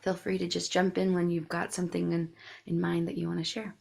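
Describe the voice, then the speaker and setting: Woman coach hosting a group call, low gravelly voice, gentle and encouraging. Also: monotone, a little fast. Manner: soft-spoken, encouraging, frequent affirmations. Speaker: host and coach. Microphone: video call.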